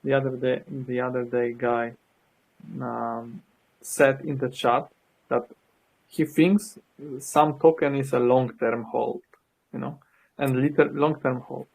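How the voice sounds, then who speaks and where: A man's voice: medium pitch, level tone, slow pace, gravelly, darkish tone, low-quality recording. Male guest, middle voice, hesitant storyteller. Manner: anecdotal, hesitant. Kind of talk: casual chat.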